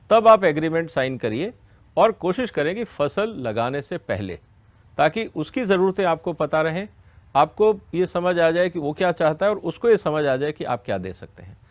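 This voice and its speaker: A man's voice: fairly high pitch, big pitch swings, moderate pace, dry recording. A man giving an educational question-and-answer explanation, didactic and step by step, often checking that listeners follow. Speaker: expert explaining a law to farmers.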